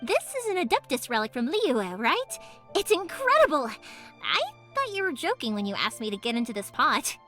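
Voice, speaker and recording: A woman voicing a cheerful, chatty young woman in a video game, with a girlish, expressive, earnest delivery. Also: sits high, moderate pace, exaggerated voice. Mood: cheerful, friendly, then amused, delighted. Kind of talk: video game character voice lines. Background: background music.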